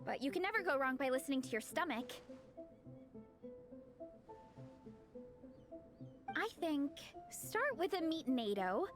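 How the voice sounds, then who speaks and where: A woman's voice: high-pitched, sing-song, a little fast. A woman playing a peppy, encouraging young woman athlete in a video game, upbeat, earnest and enthusiastic. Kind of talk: video game character acting. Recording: game music under the voice.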